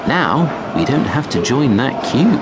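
A man plays a kindly, practical storybook mother with a raised pitch. She is reassuring and very melodic, with noise audible behind. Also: very animated, a little slow, over a noisy crowd.

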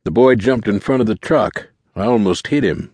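A man voicing a big, loyal driver with a deep, unhurried Louisiana drawl in a story. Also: a little mellow, dry recording, studio quality, close to an everyday voice. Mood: plain.

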